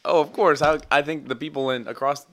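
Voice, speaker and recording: A man's voice: a little high, fairly even intonation, fairly quick, fairly bright tone. A young man hosting a podcast, bantering casually with his co-host, teasing and laughing often.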